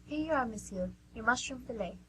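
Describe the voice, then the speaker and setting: A woman's voice: a little high, animated, brisk, slight background noise, lightly acted, low-quality recording. A woman playing a curt but polite French restaurant waitress in an amateur radio play. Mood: brisk, polite.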